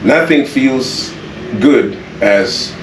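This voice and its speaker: A Kenyan man speaks conversationally as an interview guest, at a middle pitch. He is earnest and repeats phrases to make his point. The recording is echoey, with some faint noise behind him. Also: very slow. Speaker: interview guest, property developer. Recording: echoey, distant mic, faint background noise.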